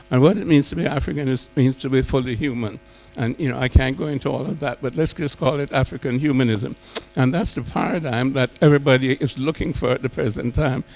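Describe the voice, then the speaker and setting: A man speaking off the cuff as a panelist, with a halting, emphatic delivery full of repeated words and intellectual phrasing. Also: mid-range voice, vocal fry, darkish tone, poor audio. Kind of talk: extemporaneous panel speech.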